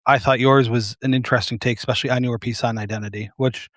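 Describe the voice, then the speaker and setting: A man's voice: mid-range voice, steady tone, quick pace, a bit bright, no room sound. Give me a man hosting a live video chat, rambling and casual, close dry sound. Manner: rambling, self-deprecating, many fillers. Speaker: host.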